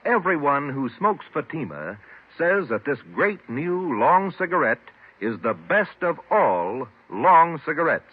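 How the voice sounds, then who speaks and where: A man's voice: fairly high pitch, sing-song, a little slow, slightly rough. A man reading commercials as an announcer in the polished sales style of 1940s radio. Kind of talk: 1940s radio advertising read. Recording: tinny old radio recording, music behind.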